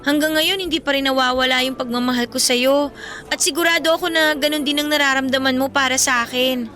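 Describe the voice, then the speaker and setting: A woman's voice: high voice, steady tone, fairly quick, pushed and bright, soft background music. A woman acting a desperate, imploring teenage student pleading with her boyfriend in an emotional radio drama. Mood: remorseful, pleading.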